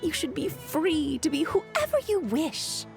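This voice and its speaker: A woman's voice: high voice, expressive, soft background music. A woman voicing a mischievous, free-spirited little fluffy creature in a video game, with theatrical, exclamatory delivery. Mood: coaxing, cheerful. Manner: theatrical, exclamatory storytelling with giggles and drawn-out words.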